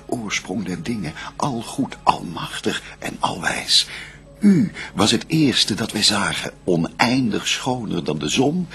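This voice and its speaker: Devout first man and adoring bridegroom, low gravelly voice, lyrical sweeping declamation, solemn verse radio play. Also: a bit bright, exaggerated voice.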